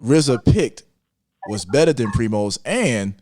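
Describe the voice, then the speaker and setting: A man's voice: mid-range voice, big pitch swings, unhurried, darkish tone, slight background noise. A man casually debating music as a podcast guest, insistent and repeating himself to press his point.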